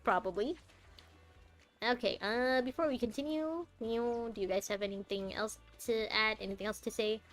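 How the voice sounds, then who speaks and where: Chatty young woman streamer, lightly high voice. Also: normal speed, soft background music. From Malaysia. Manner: chatty and rambling, thinking aloud with giggles. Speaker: game streamer. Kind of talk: casual commentary while playing a game.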